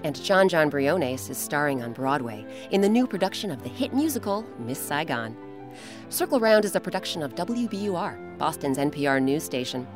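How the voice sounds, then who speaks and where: A woman narrating a scripted children's story as host, with dramatic, expressive, deliberate phrasing. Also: low voice. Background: music.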